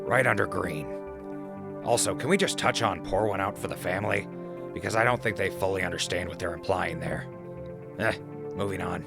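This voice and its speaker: A man's voice: sits low, creaky. A man playing a wry wasteland radio host and wanderer in an audio drama, talking conversationally. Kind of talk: audio drama log and mock sponsor reads.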